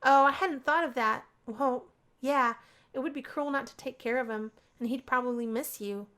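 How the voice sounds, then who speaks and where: A woman's voice: sits high, normal speed, fairly bright tone. A woman playing an earnest young female college student in a visual novel, speaking hesitantly and sincerely. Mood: friendly, thoughtful.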